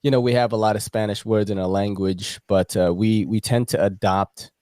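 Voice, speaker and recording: A man's voice: fairly low pitch, steady tone, normal speed, darkish tone, dry recording, clean studio sound. A man from the Philippines who moved to America as a child, hosting a casual podcast chat in a jokey, slangy, swearing way. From from the Philippines, moved to America at seven. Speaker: podcast host.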